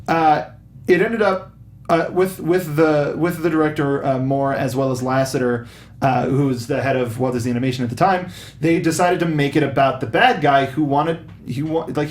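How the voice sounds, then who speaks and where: A man hosting a film review panel in a casual, somewhat halting way, putting questions to the others, recorded with roomy echo and rumble. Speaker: host of a film review show.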